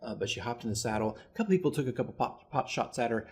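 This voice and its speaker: A man's voice: mid-range voice, animated, fast talker, a little mellow, breathy edge. A man speaking casually as a dungeon master recapping a game, rambling and self-deprecating as he tells the story. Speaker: dungeon master keeping a campaign diary.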